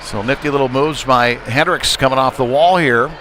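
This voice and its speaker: A man doing live play-by-play ice hockey commentary in short, halting, conversational phrases. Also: medium pitch, sharp tone. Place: indoor ice rink. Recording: noisy live arena stream, rink ambience. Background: clear rink noise and ambience.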